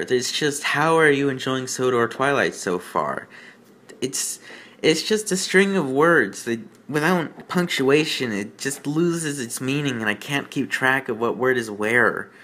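Guy doing sarcastic reading commentary, talks slow, fed up and rambly, puts himself down a lot. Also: mid-range voice, expressive, fairly bright tone. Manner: exasperated, rambling, self-deprecating. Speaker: host of a bad fan fiction reading show.

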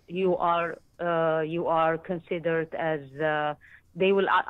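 A woman's voice: on the low side, no room sound. A woman in her fifties from Lebanon calling in to a show to share a personal account, hesitant and often searching for words. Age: 50s. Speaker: phone-in caller. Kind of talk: personal account on a radio call-in. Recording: tinny narrow phone line.

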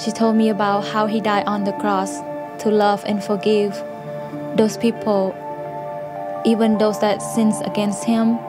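A woman speaks softly and plainly, her pitch staying very level throughout. She is recorded on a studio interview set with a clear mic while music runs behind her. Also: level tone, unhurried. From Cambodia. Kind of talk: personal testimony. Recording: music underneath.

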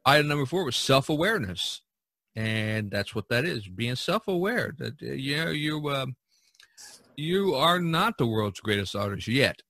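A man hosting a podcast in casual chat, talking in a halting, conversational way. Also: mid-range voice, big pitch swings, vocal fry, pushed and bright, dry recording. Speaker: podcast host. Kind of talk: casual chat about topics from a list.

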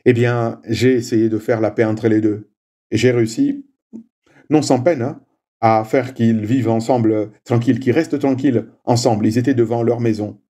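A man whose first language is Swahili, leading a prayer and giving a slow, measured homily with frequent little "hein" asides. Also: medium pitch, steady tone, warm tone. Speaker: preacher.

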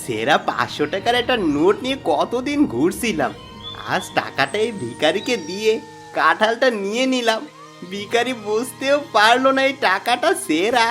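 A man's voice: sits high, sing-song, normal speed, a bit bright, soft background music. A man voicing a greedy, scheming married villager in a cartoon, with a theatrical, exclamatory delivery. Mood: sly, smug. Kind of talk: Bengali cartoon voice acting.

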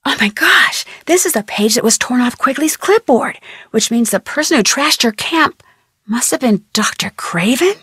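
A woman playing an inquisitive, polite and persistent young American amateur detective, speaking scripted adventure game dialogue in an earnest, expressive way. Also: fairly high pitch, normal speed, husky, dry recording, studio quality. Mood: intrigued. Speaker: video game voice actress.

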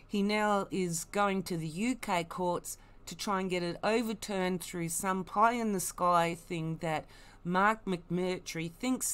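A woman's voice: fairly low pitch, smooth. A woman giving blunt, emphatic, mocking commentary, unscripted and opinionated. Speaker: online commentator.

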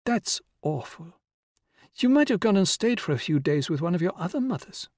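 A man's voice: sits high, big pitch swings, normal speed, a little mellow, no echo, studio quality, pitched above the performer's own voice. A man voicing a frank, inquisitive little girl in a story, with a direct, matter-of-fact manner. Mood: curious concern.

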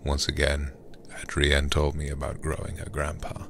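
A man streaming a game as ASMR, reading aloud and chatting softly in an intimate, soothing, breathy way, close to the microphone. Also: extremely low pitch, completely flat delivery, moderate pace, a little hiss or hum. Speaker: livestreamer playing horror games.

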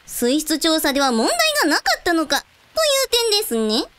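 A woman's voice: sits high, very animated, fairly quick, a bit bright, a little hiss or hum. A woman playing a composed, courteous female scientist in a radio drama. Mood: measured.

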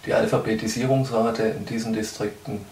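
Low-voiced man in an echoey room. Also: steady tone, fairly quick, darkish tone, a little hiss or hum. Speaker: charity spokesman. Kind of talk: prepared news update to camera. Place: small indoor room. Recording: echoey room, distant microphone.

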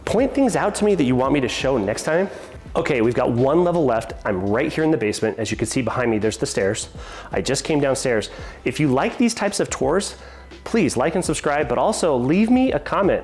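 A man's voice: medium pitch, a little mellow. An enthusiastic man in his thirties leads a walkthrough tour of a big empty home, talking to camera as he points things out. He has a mid-range voice. The bare rooms give his voice a roomy echo. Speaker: real estate agent giving a house tour. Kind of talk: walkthrough house tour narration. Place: empty large house, echoey tiled rooms and basement. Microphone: handheld camera, small clip-on mic.

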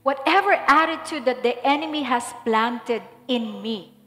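A woman pastor in her thirties from the Philippines prays over a congregation, with a voice set slightly high. She speaks slowly and deliberately, declaring each phrase with fervour. Her voice rings through a large echoing hall with faint music underneath. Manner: fervent, declaring prayer then softer guiding. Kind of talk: sermon prayer. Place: large church stage. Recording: echoey hall with soft music.

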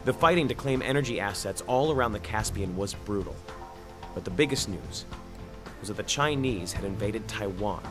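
A man's voice: fairly low pitch, animated, normal speed, lightly acted. A man voicing a war-weary journalist who narrates a war game's story in a grave tone, over music. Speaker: game narrator and soldier. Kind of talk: game cutscene voice acting. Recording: game audio with music behind.

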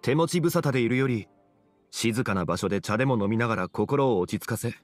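A man playing a composed, wise young gentleman in an anime game, speaking in a formal, measured way. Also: mid-range voice, expressive, no room sound, faint music behind, natural voice. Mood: calm, courteous. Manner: formal, measured, archaic phrasing.

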